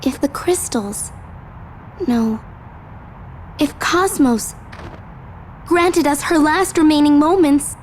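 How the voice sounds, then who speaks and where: Young game heroine, high-pitched, tender, halting and unhurried, earnest. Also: female voice, lively intonation, slight background noise. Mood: troubled, earnest. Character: gentle, hesitant young heroine. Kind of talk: earnest video game voice acting.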